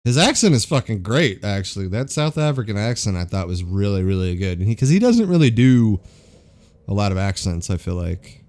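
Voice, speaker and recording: A man's voice: fairly low pitch, lively intonation, brisk, a little mellow, slight background noise. A man co-hosting a film podcast in casual banter, opinionated and sweary with slangy phrasing. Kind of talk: casual banter reviewing films.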